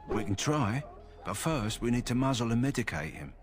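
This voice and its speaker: A man's voice: mid-range voice, very animated, normal speed, lightly acted. An actor playing a male kennel master in a fantasy game, talking steadily and practically about a sick war hound. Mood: concerned.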